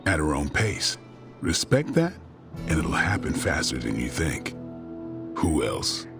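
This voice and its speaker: A very deep, gruff vampire hunter. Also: male voice, measured pace, full character voice. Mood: dry, blunt. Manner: terse, deadpan, dryly blunt. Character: gruff stoic male vampire hunter, dry and loyal. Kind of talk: video game cutscene dialogue. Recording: music under the dialogue. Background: music.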